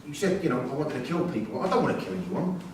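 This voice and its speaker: A man's voice: fairly high pitch, lively intonation, fast talker, a little mellow, close to an everyday voice. A man playing a defensive, earnest prisoner with colloquial, insistent speech in a staged play reading. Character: male prisoner, defensive, earnest, frustrated, rough-spoken. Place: theatre room at a staged reading. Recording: echoey hall, distant mic, hissy ambience.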